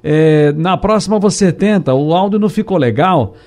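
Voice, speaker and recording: A man hosting a radio call-in show, friendly and brisk, reading listeners' questions and greeting callers. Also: high-pitched, animated, darkish tone. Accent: Brazilian Portuguese.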